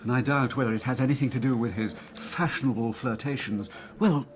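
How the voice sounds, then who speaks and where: A man's voice: mid-range voice, brisk, lightly acted. A man playing an urbane, gossiping actor in a period radio drama, wry and confiding.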